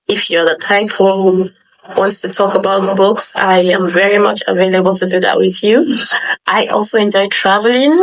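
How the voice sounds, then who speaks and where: A woman's voice: mid-range voice, a bit bright, low-quality recording. A Nigerian woman, an online English tutor, giving a welcoming scripted self-introduction.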